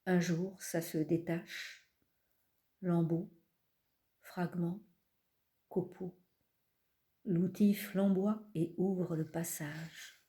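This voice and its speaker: A woman poet reading her own poems aloud in a calm, measured way, pausing between phrases. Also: on the low side. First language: not French. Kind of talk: slow poetry reading.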